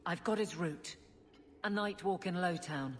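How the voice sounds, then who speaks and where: Actress as principled, blunt guardswoman in a fantasy game. Lower register, resolute, reverberant sound. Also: unhurried, a little hiss or hum, close to an everyday voice. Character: stoic, principled, no-nonsense city guardswoman.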